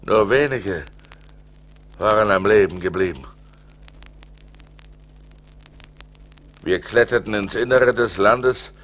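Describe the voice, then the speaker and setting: An actor playing a male seafarer who tells his adventures in a slow, theatrical radio-play style, with dramatic swings, on an old vinyl record. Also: fairly low pitch, lightly acted. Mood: excited. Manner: dramatic, slow, swinging between hushed and exclaiming.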